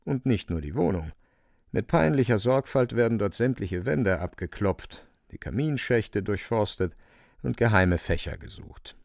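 A male narrator with a lowish voice reads literary radio drama. His phrasing is theatrical and emphatic, and the sound is dry and close. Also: animated, a little slow, creaky, a little mellow, dry recording.

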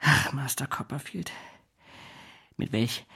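A scheming clerk with a creaky, husky male voice. He oozes false humility and servile gratitude, gushing obsequiously in the manner of literary radio drama. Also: mid-range voice, takes it slowly, pushed and bright, heavily acted. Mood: oily devotion.

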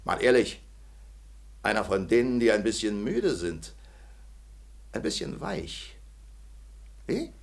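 An actor playing a self-assured, patronising German army colonel in 1940s radio drama style, with clipped delivery and barked questions. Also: male voice, lowish voice, very animated, takes it slowly, gravelly, pauses often, exaggerated voice. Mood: condescending.

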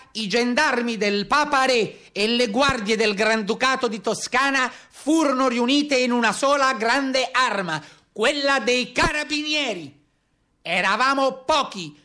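An actor playing a young, poorly paid carabiniere who recalls hard service in a declaimed theatrical monologue. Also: male voice, extremely high pitch, lively intonation, a little slow, bright, forceful tone, exaggerated voice. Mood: aggrieved recounting.

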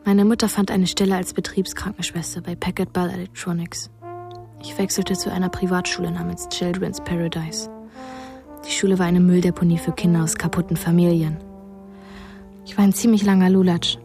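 A woman's voice: lowish voice, little pitch movement, a little fast, vocal fry, over music. A woman narrating a son's sober childhood memories in a radio drama, restrained and matter-of-fact. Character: James Ellroy's childhood self, soberly recalling his parents.

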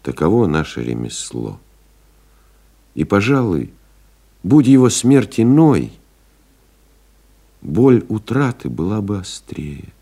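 Deep, unhurried, solemn pilot. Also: male voice, sing-song, warm tone, husky, exaggerated voice. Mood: mournful, resigned, then reflective. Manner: reflective, solemn, measured, dramatic pauses. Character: pensive, solemn airmail pilot recalling lost comrades. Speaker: actor reading a literary memoir as narrator. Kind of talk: literary audio drama monologue.

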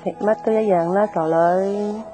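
An actress playing a kindly middle-aged mother in a radio drama, gently fussing, with a Hong Kong Cantonese accent. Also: mid-range voice, steady tone, normal speed, faint music behind, close to an everyday voice. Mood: kindly, concerned. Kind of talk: naturalistic radio drama acting.

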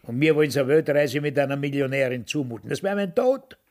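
An actor playing a cynical, grumbling widower of about ninety in a radio play, with a husky, gravelly Austrian voice. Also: male voice, mid-range voice, animated, fast, darkish tone, close to an everyday voice. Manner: grumbling, blunt, dismissive.

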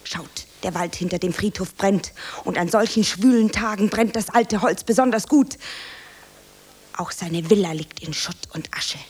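A woman playing an eager, anxious young beginner actress in a radio play, earnest and theatrical, with dramatic pauses. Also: medium pitch, darkish tone, lightly acted. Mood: tragic, declaiming. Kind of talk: German radio play acting. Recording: roomy, faint hiss.

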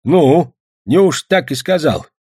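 A man's voice: fairly high pitch, animated, normal speed, no room sound, clean studio sound, pitched above the performer's own voice. A man voicing a curious, easily amazed old peasant grandfather in an audiobook, using rustic old-time folk speech. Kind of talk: acted audiobook dialogue.

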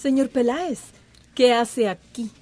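An actress playing a cool, calculating villain who schemes patiently in a radio drama. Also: female voice, fairly high pitch, measured pace, lightly acted.

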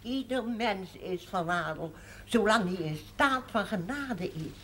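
A woman's voice: mid-range voice, expressive, a little slow, a bit bright. An actress playing a pious, teasing, plain-spoken village woman in a naturalistic television period drama. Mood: frank. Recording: 1970s TV audio with faint noise.

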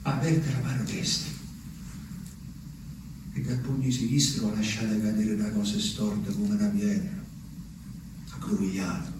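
An actor playing a simple, haunted country man who tells his story softly and breaks into strained outbursts, in a radio drama. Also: on the low side, flat delivery, normal speed, pauses often, recorded from a distance, noisy background, close to an everyday voice. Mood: hushed. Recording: echoey radio broadcast, voice a bit off mic.

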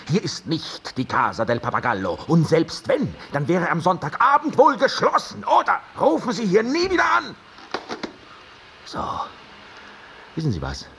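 A man's voice: medium pitch, creaky, a bit bright, a little hiss or hum, heavily acted. An actor in a radio drama playing a talkative, curious and teasing man who tells stories in a theatrical, ironic way. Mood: brisk, irritated. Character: talkative, curious, teasing male host telling an old tale. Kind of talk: German radio drama, dialogue and storytelling.

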